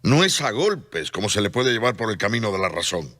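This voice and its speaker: A man's voice: lowish voice, very animated, brisk, bright, forceful tone, exaggerated voice. An actor playing a pious man who defends the sick, impassioned and pleading in a radio drama. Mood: earnest.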